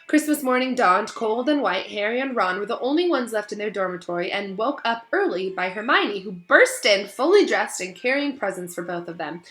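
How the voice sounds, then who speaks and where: A woman's voice: medium pitch, very animated, moderate pace, hardly any pauses, a bit bright. A woman teacher reading a story aloud to children in an encouraging, emphatic way. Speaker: elementary school teacher reading aloud to students.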